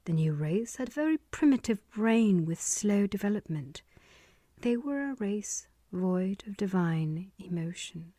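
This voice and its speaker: A woman reading a spiritual text aloud in a soft, often half-whispered delivery. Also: medium pitch, lively intonation, a little slow, no room sound, clean studio sound. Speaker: reader.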